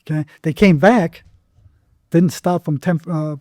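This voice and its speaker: Older man, very dark mellow voice. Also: a little high, no room sound, studio quality. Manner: halting, repeats words, acts out remembered exchanges. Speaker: psychotherapist guest. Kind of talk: conversational storytelling in an interview.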